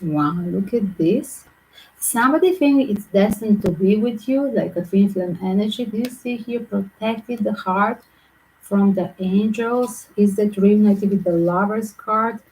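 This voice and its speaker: A woman from Southern Europe with a mixed Greek and Yugoslav accent, giving a tarot reading in quick, chatty, non-native English. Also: medium pitch, a little mellow, low-quality recording. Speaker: tarot reader.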